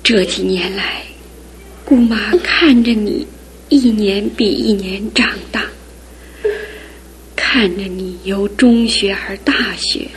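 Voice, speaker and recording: Slow soft-spoken aunt, middle-aged, half whispering, bit high. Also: female voice, slightly rough, full character voice, low-quality recording. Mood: tender. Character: aging aunt, gentle, grieving an old love. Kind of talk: radio drama acting.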